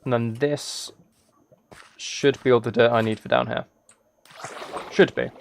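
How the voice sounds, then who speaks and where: A man streams live in a relaxed, soft-spoken manner, with a mid-pitched voice. He rambles and thinks aloud as he games, chatting casually to viewers. Keyboard typing can be heard as he talks. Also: measured pace, creaky, slight background noise. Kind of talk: casual livestream chat while gaming.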